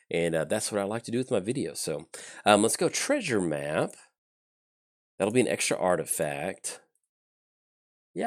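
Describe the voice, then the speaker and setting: A man hosting casual card game commentary, thinking aloud with lots of ums and uhs while getting over a chest cold that leaves his throat slightly scratchy. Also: deep voice. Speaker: channel host.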